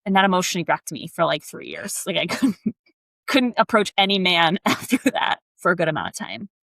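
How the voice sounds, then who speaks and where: A woman's voice: fairly low pitch, lively intonation, a little fast, a bit bright, no room sound. A young woman from Texas, co-hosting a podcast in casual chat, bubbly and full of "like" fillers. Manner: chatty, bubbly, lots of "like" fillers. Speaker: podcast co-host.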